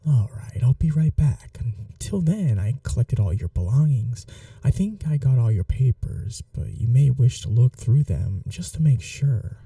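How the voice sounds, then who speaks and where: A man playing a gentle, old-world vampire gentleman in an intimate ASMR roleplay, speaking in a polite, soothing, softly formal way. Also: medium pitch, expressive, a little slow, no echo, far from the mic. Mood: caring, attentive.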